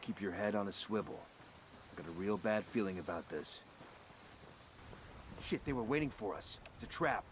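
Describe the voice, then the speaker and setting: An actor playing a grim, blunt special forces squad leader with terse, commanding delivery in a game cutscene. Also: male voice, fairly low pitch, lively intonation, moderate pace, pauses often, noisy background, natural voice. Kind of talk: video game cutscene acting.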